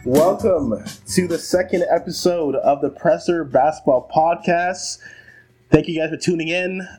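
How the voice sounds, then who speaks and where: Young man, podcast co-host, mellow warm tone, blunt, profane, casual sports chat. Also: a little high, unhurried, soft background music. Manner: blunt, profane, laughs easily.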